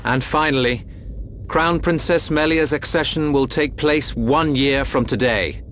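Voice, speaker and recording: A dignified royal regent acted by a man in a video game drama. He speaks with formal authority and stately care at a medium pitch. A faint ambience lies behind him. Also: unhurried, fairly bright tone, a little hiss or hum, lightly acted.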